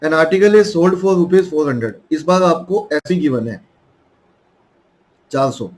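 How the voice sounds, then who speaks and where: A man's voice: a little high, lively intonation, slow. Indian male math teacher, sore throat. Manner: coaxing, addresses students as children. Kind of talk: online math lesson.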